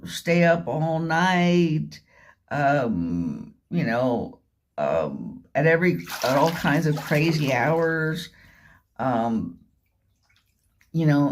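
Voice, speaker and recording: A woman giving a rambling, informal spoken commentary, halting and repetitive, leaning on words for emphasis. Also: sits low. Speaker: vlogger. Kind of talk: rambling informal monologue retelling and commenting on a radio drama.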